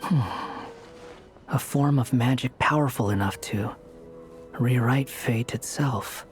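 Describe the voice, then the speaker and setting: A man's voice: medium pitch, slow, soft background music, close to an everyday voice. An actor playing a proud, sardonic young man in a fantasy video game, with a dry, cutting manner. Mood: pensive.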